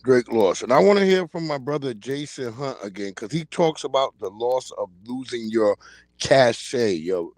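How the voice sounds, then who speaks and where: A man's voice: medium pitch, expressive, moderate pace, darkish tone, no echo. A man in his forties hosting a livestream, ranting bluntly and in slang about the news of the day. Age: 40s. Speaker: livestream host. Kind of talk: casual commentary livestream. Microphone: streaming mic.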